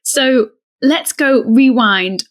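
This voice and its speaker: A woman's voice: sits high, extremely slow. A woman hosting a podcast interview, upbeat and encouraging as she introduces and questions her guest. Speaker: podcast host, coach.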